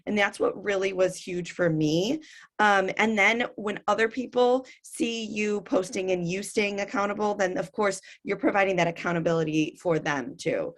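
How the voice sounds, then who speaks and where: A woman fitness coach with a raspy voice, talking casually on a webinar with lots of fillers. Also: medium pitch, moderate pace. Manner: chatty, frequent fillers.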